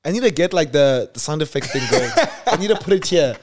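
A man's voice: fairly high pitch, lively intonation, fast, background noise. A man in his twenties from South Africa, co-hosting a podcast in easygoing chat with hesitant, repeated words. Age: 20s. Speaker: podcast co-host. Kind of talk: casual chat.

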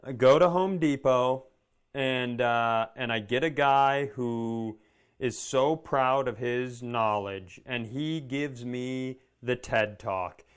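A man's voice: mid-range voice, slow pace, clear, resonant tone. A man co-hosting a comedy podcast, telling stories in casual chat with sarcasm, swearing and acted-out dialogue.

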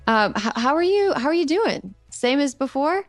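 A woman's voice: high-pitched, very animated, normal speed, dry recording, faint music behind. Bubbly podcast host woman, dry mic. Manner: bubbly, laughs often. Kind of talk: casual chat and read ad.

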